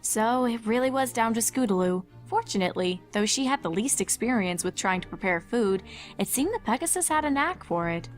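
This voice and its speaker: A woman's voice: on the high side, animated, brisk, faint music behind. A woman reading scripted story narration as the narrator of a fan fiction. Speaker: fanfic narrator.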